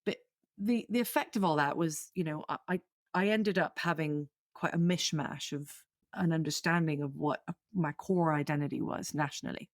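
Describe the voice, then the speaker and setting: A woman's voice: sits low, moderate pace, dry recording, studio quality. A woman from Venezuela, a podcast guest talking candidly and reflectively, often restarting and repeating her words. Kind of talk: candid conversational interview.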